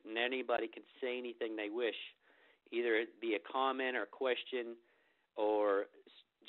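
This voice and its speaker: Man getting over a cold, meditation host, rambling, mid-pitched, phone-line audio. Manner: rambling, many fillers and restarts. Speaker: meditation teacher hosting a group phone call. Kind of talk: informal spiritual talk before a meditation. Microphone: telephone.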